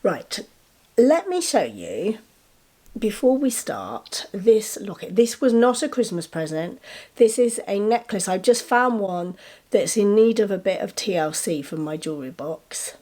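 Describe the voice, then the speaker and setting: A woman with a slightly bunged-up voice from a cold, chatting enthusiastically to camera as she shows her gifts. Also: mid-range voice. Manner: chatty, enthusiastic, with soft giggles. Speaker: vlogger showing gifts. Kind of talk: casual show-and-tell to camera.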